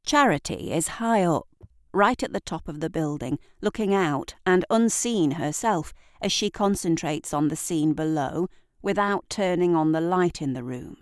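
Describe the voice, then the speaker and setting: A woman reading scripted literary narration for an audio drama in a deliberate, dramatic storytelling manner. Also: lowish voice, lively intonation, dry recording, clean studio sound.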